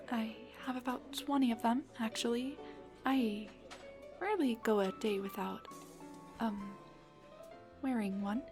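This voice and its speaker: A woman playing a shy, nervous dullahan on a blind date in an intimate audio roleplay, speaking in a flustered, hesitant, rambling way. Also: fairly high pitch. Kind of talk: intimate scripted audio roleplay addressed to the listener.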